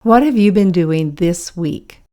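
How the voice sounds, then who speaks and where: A woman asking simply phrased, measured interview questions for English learners. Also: on the low side, expressive, mellow.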